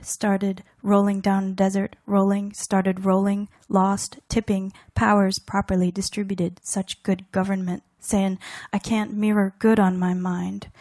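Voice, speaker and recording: A woman recites her poems with deliberate care, setting down short fragments and weighted pauses. Her pitch moves within a very narrow span, and her tone is soft-edged and mellow. The sound is close and dry. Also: dry recording. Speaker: poet reading own work. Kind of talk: poetry reading, measured recitation.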